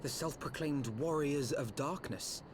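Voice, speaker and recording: A man's voice: fairly high pitch, measured pace, noisy background, close to an everyday voice. A man playing an earnest, learned young nobleman who speaks formally and articulately in a fantasy video game. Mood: pensive, formal.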